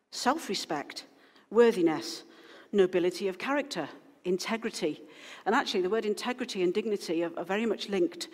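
A woman pastor giving an informal, rambling sermon to her congregation, full of asides and restarts. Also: on the low side. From Wales. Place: church hall. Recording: long hall reverb.

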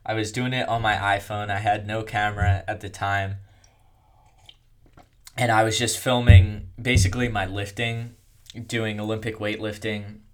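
A young man telling his own story in a casual, rambling way, with a monotone delivery and lots of fillers. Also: on the low side, normal speed, smooth. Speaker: content creator.

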